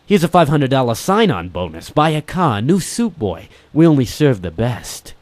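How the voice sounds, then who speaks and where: A man's voice: medium pitch, sing-song, normal speed, a little hiss or hum, natural voice. A man voicing an old, alert detective agency man with a formal, fatherly manner in a radio-style detective drama, music behind him. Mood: insistent, salesmanlike. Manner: formal, avuncular, addresses listener as boy and son.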